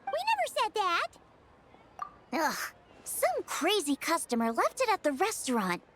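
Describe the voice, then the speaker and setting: A woman voicing an excitable, childlike young girl in a fantasy video game, chatty and exclamatory. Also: extremely high pitch, unhurried, slight background noise, heavily acted. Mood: agitated. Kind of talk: animated game voice acting.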